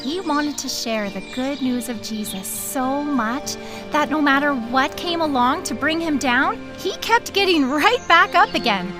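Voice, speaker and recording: Kindly, upbeat female ranger for kids' audio, played by a woman; high, expressive storytelling. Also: measured pace, music in the background. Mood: encouraging. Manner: animated, explanatory. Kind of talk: children's audio drama storytelling.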